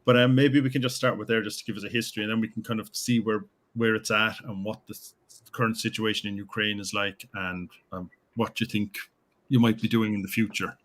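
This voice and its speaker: A man's voice: on the low side, flat delivery, brisk, a bit bright. A man with an Irish accent hosting a casual chat over a video call. He talks in a chatty, hesitant way with lots of fillers. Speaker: podcast host.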